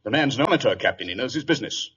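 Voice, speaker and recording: Brisk, animated, confident radio detective. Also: male voice, mid-range voice, slightly rough. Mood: coolly confident. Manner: clipped, self-assured, commanding. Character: brisk, confident, sharp-witted male detective, coolly superior. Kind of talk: old-time radio drama acting. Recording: dull vintage radio recording, muffled.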